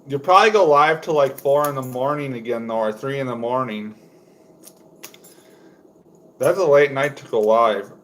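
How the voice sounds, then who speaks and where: A young man from Wisconsin with a low voice, chatting casually on a home livestream while making fishing lures, rambling with lots of fillers. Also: moderate pace, long pauses, a bit bright, rough recording. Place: indoors at home.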